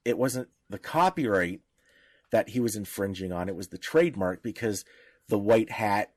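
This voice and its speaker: A man's voice: lowish voice, lively intonation, normal speed, darkish tone, no echo, studio quality. A man co-hosting a casual podcast, explaining points and often rephrasing as he goes. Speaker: podcast co-host.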